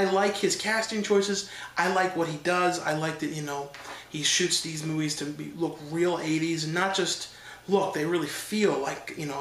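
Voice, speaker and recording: A man's voice: fairly high pitch, fairly bright tone, reverberant. A man with a stuffed-up nose from allergies giving a loose, rambling casual review, with many fillers and restarts. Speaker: horror film reviewer.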